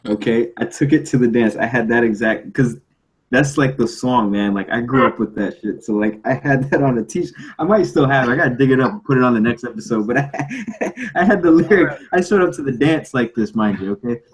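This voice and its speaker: A man's voice: mid-range voice, animated, fairly quick, husky, low-quality recording. A young man co-hosting a casual podcast, slangy and joking, repeating himself for emphasis. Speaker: podcast co-host.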